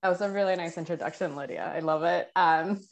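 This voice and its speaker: Guest, woman from Ecuador, low voice, polished professional delivery. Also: quick pace, creaky. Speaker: guest, public relations consultant. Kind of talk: self-introduction.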